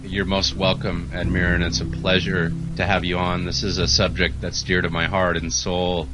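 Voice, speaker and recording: A man with a very deep voice hosts the show in a steady, polished radio manner. Loud music plays behind him. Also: moderate pace, poor audio. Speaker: podcast host. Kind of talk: scripted intro and interview questions.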